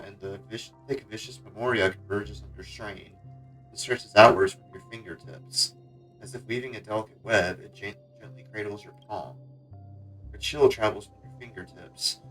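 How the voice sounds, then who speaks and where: A low-pitched man streams a story game, reading its prose aloud and breaking into casual reactions. Music plays faintly behind him. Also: pushed and bright, poor audio. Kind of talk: dramatic read-aloud mixed with casual reactions.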